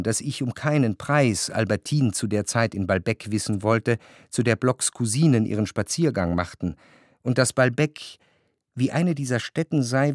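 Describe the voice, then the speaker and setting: A male actor as the reflective first-person narrator of a literary radio drama, speaking in a measured way. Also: medium pitch, a little mellow, dry recording, clean studio sound, close to an everyday voice. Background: faint music.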